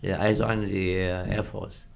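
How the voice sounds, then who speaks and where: A man in his seventies with a Vietnamese accent, a non-native speaker recalling his past in an interview, halting often with many hesitations and loose grammar. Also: deep voice, level tone, gravelly, a little hiss or hum. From northern Vietnam. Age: 70s. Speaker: guest, former pilot. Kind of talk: casual interview recollection.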